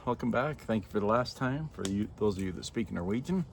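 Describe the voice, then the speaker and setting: An older man with a fairly low voice talks casually into his camera outdoors at night. He is reflective and sincere, pausing as he finds his words. Some background noise sits behind him. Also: animated, vocal fry. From born in Norway, moved to Canada as a child. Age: 50s to 60s. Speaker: vlogger. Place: outdoors by a fire in a yard at night. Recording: noisy outdoor ambience.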